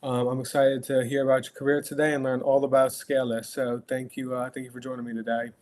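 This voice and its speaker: A man's voice: medium pitch, flat delivery, fast talker, darkish tone. A man hosting a podcast, asking questions in a friendly, hesitant way with many fillers. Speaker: podcast host. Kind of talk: casual interview questions.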